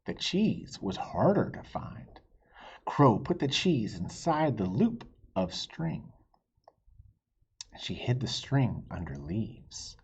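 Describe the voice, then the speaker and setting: A man reading a children's picture book aloud in a teacherly way, stopping to ask his young listeners questions, in a husky voice. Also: fairly low pitch, big pitch swings, slow pace, a little mellow, muffled recording. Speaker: read-aloud storyteller.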